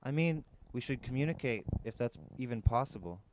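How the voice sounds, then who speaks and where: A man's voice: mid-range voice, expressive, a little fast, a little mellow, slight background noise, natural voice. A man voicing a curious, practical teenage girl in an audiobook, questioning and composed. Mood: thoughtful.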